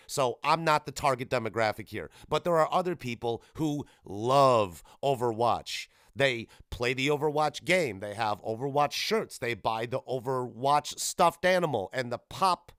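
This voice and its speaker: A man's voice: medium pitch, a bit bright, no room sound, clean studio sound. A man hosting a solo gaming podcast, giving casual commentary in a rambling, irreverent, profane way. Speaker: podcast host.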